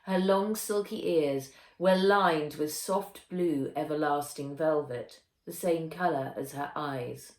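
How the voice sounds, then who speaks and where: Low-voiced woman reading a children's story. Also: slow pace, hardly any pauses. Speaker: author reading own novel aloud. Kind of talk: children's audiobook narration. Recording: roomy echo.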